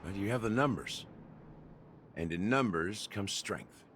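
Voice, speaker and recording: An actor voicing a gruff, world-weary veteran in a game, curt and dry. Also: male voice, on the low side, expressive, unhurried, fairly bright tone, a little hiss or hum. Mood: wry, resigned. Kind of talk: scripted game voice acting.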